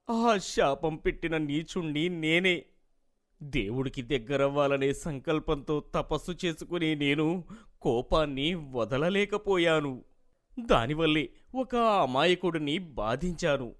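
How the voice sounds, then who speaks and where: Man playing a remorseful old sage, fairly high-voiced. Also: expressive, takes it slowly. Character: remorseful old sage, grandfatherly husband in a moral tale.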